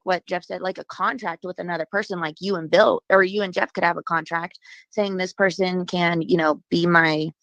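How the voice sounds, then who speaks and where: A woman's voice: fairly low pitch, gravelly, fairly bright tone, dry recording. A woman calling in to a radio talk show, with a froggy just-woken voice and hesitant talk full of fillers and restarts. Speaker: caller. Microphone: phone. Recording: dull, muffled phone line.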